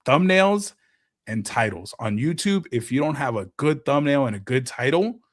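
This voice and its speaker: Some guy on a podcast, animated, breaks stuff down with gaming lingo. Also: medium pitch, moderate pace. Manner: animated, explains with gaming comparisons. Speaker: guest content creator. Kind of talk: casual podcast chat.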